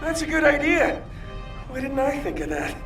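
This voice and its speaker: A man's voice: very high voice, sing-song, moderate pace, exaggerated voice. A man playing an easygoing, teasing squad soldier in a video game. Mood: casual. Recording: distant, loud music behind.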